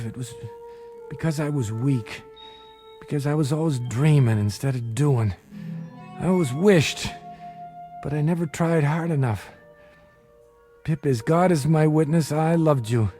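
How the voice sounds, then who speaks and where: A high-pitched, pleading, emotional father. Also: lively intonation, measured pace, long pauses, mellow, faint music behind. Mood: remorseful. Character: desperate, remorseful middle-aged father, weak-willed small-time hustler. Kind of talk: dramatic radio-play acting.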